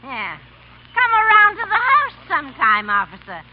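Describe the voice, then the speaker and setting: A woman's voice: very high-pitched, huge pitch swings, normal speed, heavily acted. An elderly woman in 1930s radio drama, playing a bedraggled, cheeky old street apple seller with a thin voice. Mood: gruff, cheeky. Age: 70s. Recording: dull, faintly noisy 1930s radio recording.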